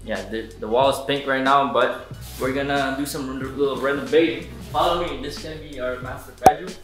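A man's voice: medium pitch, fairly even intonation, brisk, fairly bright tone, soft background music. A young man in his twenties giving a casual, laid-back vlog tour of an empty basement suite, filmed on a handheld camera at arm's length. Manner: laid-back, chatty, talks to the viewers. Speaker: vlogger.

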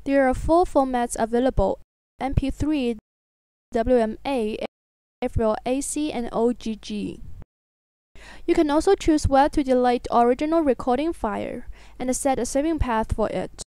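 A woman reading a scripted software tutorial in an instructional, step-by-step manner. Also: high voice, moderate pace, smooth, no room sound.

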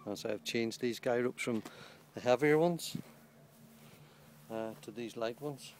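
Man, plain mid-pitched voice, matter-of-fact gear reviewer outdoors, handheld camera mic. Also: a little slow, a little hiss or hum. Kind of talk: casual product walkthrough. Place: outdoors on a lawn beside a small tent. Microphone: handheld camera held by the speaker.

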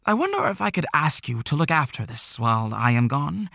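A man's voice: a little high, sing-song, brisk, vocal fry, bright, forceful tone, no echo, clean studio sound, exaggerated voice. Man voicing an earnest, quaint older shopkeeper. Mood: worried. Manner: quaint phrasing with tagged-on repeats.

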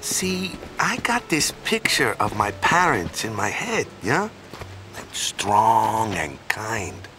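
A man's voice: lowish voice, huge pitch swings, takes it slowly, bright, forceful tone, slight background noise, full character voice. An actor playing a good-hearted, boneheaded island man in a video game, talking in a casual, folksy way with tag questions. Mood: reflective, unsure. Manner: folksy and casual, tags sentences with "yeah?" and "you know?".

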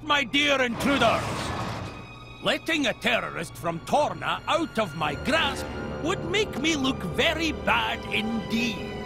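Pompous high-pitched villain, drawling theatrically. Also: male voice, vocal fry, full character voice. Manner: pompous, mock-courteous, drawn-out delivery. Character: pompous, theatrical male villain, scheming and self-satisfied. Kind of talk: video game cutscene acting.

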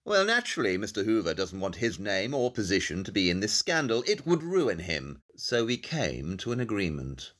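An actor playing a brilliant, self-assured detective in an audio drama, speaking in a precise, theatrical, condescending way. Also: male voice, fairly low pitch, huge pitch swings, moderate pace, few pauses, fairly bright tone.

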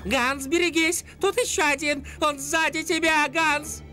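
A woman's voice: sits high, fairly even intonation, a little slow, over music. A woman voicing a plucky, cheeky little male animal sidekick in a dubbed cartoon, feisty and boastful.

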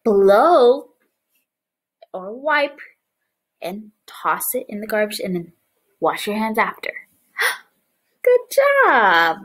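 A young woman preschool teacher reading a picture book aloud to small children and explaining it, with animated, exaggerated expression. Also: medium pitch, extremely slow, long pauses. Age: young adult. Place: small living room, indoors, on a couch.

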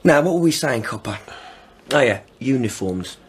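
Nasty young thug in a radio play, husky voice, taunting and bullying. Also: male voice, mid-range voice, lively intonation, moderate pace. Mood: volatile bullying. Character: menacing young male army deserter with a gun. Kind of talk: radio drama acting.

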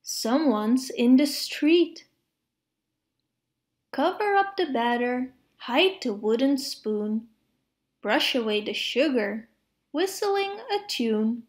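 A woman reading children's picture books aloud to preschoolers in an expressive, measured way. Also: high-pitched. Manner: expressive, measured phrasing with playful sound words. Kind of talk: storybook read-aloud for preschoolers.

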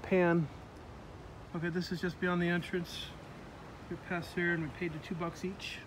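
A man's voice: high voice, little pitch movement, normal speed, low-quality recording. A man casually narrating a walk through a sunny park, pointing things out with lots of fillers, filmed on a handheld phone outdoors. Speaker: vlogger filming a park walk. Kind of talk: casual walking tour narration. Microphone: handheld phone camera. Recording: outdoor phone audio with loud background noise.

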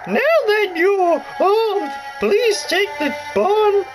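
Man putting on a high falsetto bossy spy lady. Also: very animated, music in the background, full character voice, low-quality recording. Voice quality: strained falsetto. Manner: slow, drawn-out orders. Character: stiff bossy female spy chief giving instructions.

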